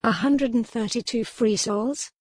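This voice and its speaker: A woman's voice: a little high, unhurried, a little mellow, dry recording, clean studio sound, pitched above the performer's own voice. A woman voicing a strong-willed captive noblewoman in an audiobook, formal, pointed and challenging. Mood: solemn, resolute. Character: defiant, sharp-witted captive noble lady, strong-willed. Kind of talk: audiobook character dialogue.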